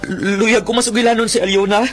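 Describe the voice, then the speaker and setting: A man's voice: high-pitched, steady tone, a little fast, music in the background, close to an everyday voice. A man acting in a radio drama as a frightened, pleading young captive. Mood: desperate pleading.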